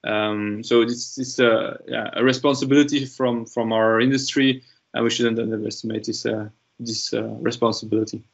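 Older man, measured panelist on lo-fi call. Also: mid-range voice, fairly even intonation, vocal fry, husky. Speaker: industry panelist. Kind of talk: panel discussion. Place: home study with bookshelves. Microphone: laptop webcam video call. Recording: dull lo-fi video call audio.

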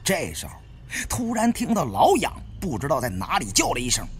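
Highly animated male audiobook storyteller. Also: brisk. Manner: expressive, suspenseful delivery. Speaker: audiobook narrator. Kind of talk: dramatic scripted storytelling of an adventure thriller. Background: background music.

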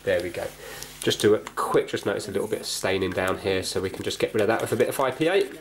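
A man's voice: fairly low pitch, fast talker. A man casually narrating hands-on repair work, thinking aloud and explaining each step in a tentative way. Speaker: host of a repair video. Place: indoors at a cluttered workbench. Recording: clear background noise, clicks from handling.

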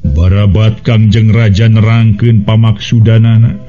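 A man's voice: on the low side, little pitch movement, unhurried, mellow. A man telling a story as a radio storyteller, narrating in a dramatic style. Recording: dull lo-fi radio recording with music.

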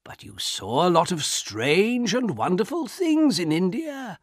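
A man's voice: high-pitched, very animated, measured pace, dry recording, studio quality, voice pushed up, exaggerated voice. A man voicing a curious, hospitable old father in a storybook, eager and insistent.

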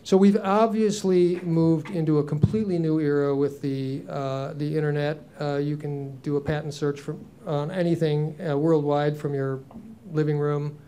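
A man's voice: on the high side, steady tone, normal speed, warm tone, clear, resonant tone. A man in his sixties answering on a conference panel, earnest and reflective, with frequent ums and restarts into a handheld mic. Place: conference room, seated on stage.

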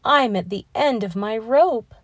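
A woman's voice: fairly high pitch, expressive, a little slow, no room sound, studio quality. A woman voicing plucky, aggrieved living alphabet letters from a storybook with dramatic, emphatic delivery. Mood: glum, plotting.

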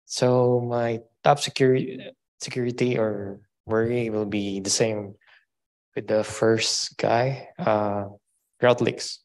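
A man's voice: fairly low pitch, flat delivery, slow, a little mellow, low-quality recording. A man giving a short self-introduction as a participant at a roundtable.

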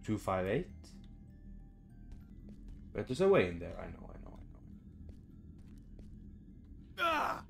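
A man's voice: lowish voice, wildly swooping pitch, takes it slowly, gravelly, long pauses, faint music behind. A young man giving casual, informal let's play commentary, thinking aloud and swearing as he plays. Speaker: gaming video host. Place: small room indoors at home.

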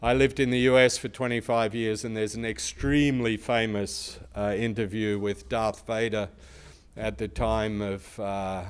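A man with a big loud voice giving a conference presentation as a research institute speaker, explaining and asking the audience questions. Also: fairly low pitch, steady tone, a little slow, smooth.

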